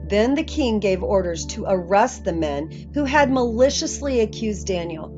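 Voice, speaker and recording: A woman's voice: mid-range voice, darkish tone. A woman reading a Bible story aloud as a scripted narrator, on slightly muffled audio. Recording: muffled audio with faint music.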